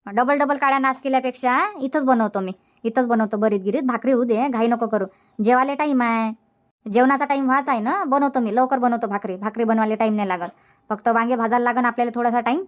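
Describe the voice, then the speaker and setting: A woman plays a chatty village homemaker in a comedy cartoon. Her voice is high, with a rural Varhadi Marathi accent and an everyday domestic manner. The audio is somewhat dull and lo-fi. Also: fairly even intonation, fast, close to an everyday voice. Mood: chatty, practical. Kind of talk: colloquial cartoon comedy dialogue.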